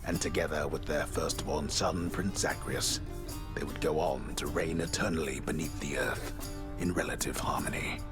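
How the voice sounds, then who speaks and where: An actor playing a solemn mythic storyteller, narrating a video game in a grandiose, measured way over music. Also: male voice, extremely low pitch, fairly even intonation. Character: solemn male epic storyteller narrating Greek myth.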